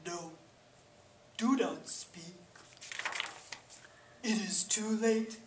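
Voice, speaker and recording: Woman acting a slow, echoey, melodramatic storybook elephant. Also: mid-range voice, animated. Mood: mournful, dramatic. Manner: halting, melodramatic, drawn-out delivery. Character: anxious, dramatic sneezing elephant, storybook best friend. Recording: echoey, distant, faint background noise.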